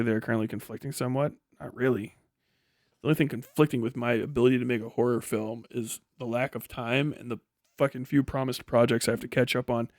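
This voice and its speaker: A man's voice: medium pitch, creaky, a little mellow, dry recording, studio quality. A man hosting a livestream, chatting casually and answering viewers in a blunt, heavily profane way. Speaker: livestream host and commentator.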